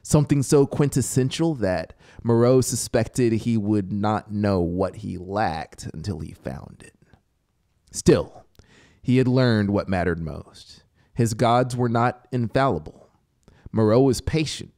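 A man in his thirties reading a story as an audiobook narrator, deliberate and theatrical, close to a studio microphone. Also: lowish voice, animated, mellow, no echo. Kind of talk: dramatic scripted story narration. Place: small home music studio, indoors. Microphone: close studio microphone with headphones. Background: music soundscape.

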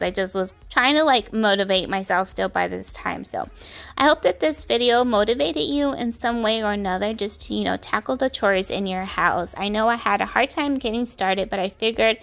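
A young adult woman narrating her home chores in a relaxed, plain-spoken voice-over, encouraging her viewers. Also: medium pitch, moderate pace, gravelly. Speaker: homemaking vlogger.

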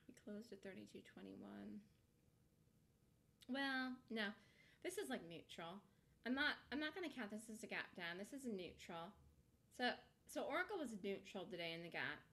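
A woman's voice: mid-range voice, sharp tone. A woman with a mid-range voice delivers informal market commentary as a host. She talks conversationally and thinks aloud as she goes. Her delivery is emphatic, with words repeated for stress and questions she answers herself. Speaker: stock trading commentator and host. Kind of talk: informal market commentary over screen-shared charts.

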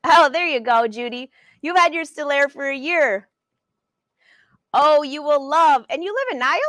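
A woman's voice: high-pitched, normal speed, a bit bright, dry recording, clean studio sound. Upbeat woman teaching a live sewing class. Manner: chatty and upbeat, addresses viewers by name. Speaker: sewing educator and brand ambassador. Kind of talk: live-streamed sewing lesson answering viewer questions. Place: sewing studio indoors.

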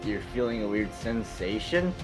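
A man with a very lively, mid-pitched voice jokes and swears through a loose fan table read. Music plays clearly in the background of the voice chat. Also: normal speed. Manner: joking, swears, loose line reading. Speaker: table read participant voicing Cole.

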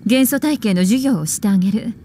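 A woman voicing an elegant, teasing witch librarian in a video game, speaking in an unhurried, coquettish way. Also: fairly high pitch, dark tone, a little hiss or hum, natural voice. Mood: teasing, instructive. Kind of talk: Japanese video game voice acting.

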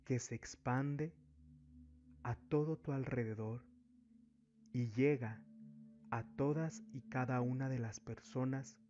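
A man narrating a guided meditation as a spiritual guide, giving deliberate instructions in short phrases with long pauses. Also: mid-range voice, fairly even intonation, mellow. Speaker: spiritual therapist, meditation guide and channel host. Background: background music.

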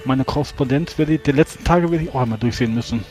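A thoughtful gentleman adventurer played by a man in a tabletop role-playing game. His mid-pitched voice is polite, formal and measured. Faint music sits behind it. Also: natural voice. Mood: pensive, courteous.

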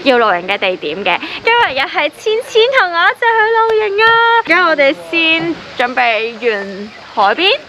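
A woman's voice: sits high, very animated, moderate pace, few pauses, sharp tone, slight background noise. A young woman with a Hong Kong Cantonese accent, chatting casually through a camping vlog and laughing at herself. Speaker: vlogger. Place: outdoors on a beach campsite. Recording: music playing under the voice.

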